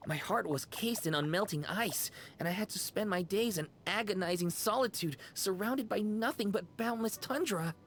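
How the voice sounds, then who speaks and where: An actress playing a sleepy, muddled young female concierge in a video game, polite and earnest, with dreamy, halting, theatrical storytelling. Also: mid-range voice, a little hiss or hum, lightly acted. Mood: dreamy, wistful. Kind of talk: scripted video game character dialogue. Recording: game music behind the voice.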